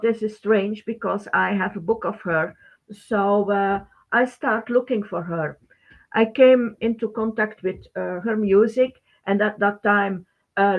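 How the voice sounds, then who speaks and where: Slow-speaking Belgian Dutch woman on thin audio. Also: mid-range voice. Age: middle-aged. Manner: halting, non-native English phrasing. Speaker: webinar host, teacher. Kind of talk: informal webinar presentation. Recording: thin phone-like band-limited webinar audio.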